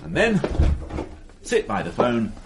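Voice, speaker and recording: An actor playing a shrewd police inspector who asks measured, probing questions in a radio drama. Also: male voice, medium pitch, huge pitch swings, noisy background.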